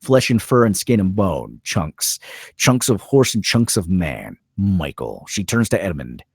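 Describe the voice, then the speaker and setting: A man narrates a film script with a deep, raspy voice. His intonation swings widely through short, suspenseful phrases and dramatic pauses. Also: sing-song, no echo, studio quality. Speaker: narrator reading stage directions.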